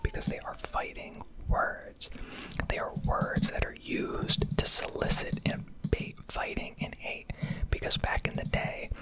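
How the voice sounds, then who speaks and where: A young man from the United States whispers a candid, sweary, conversational ASMR ramble in a breathy, unvoiced voice, close to the microphone. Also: low-quality recording. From United States, Connecticut. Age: young adult. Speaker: ASMR streamer. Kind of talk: whispered ASMR rambling rant over gameplay.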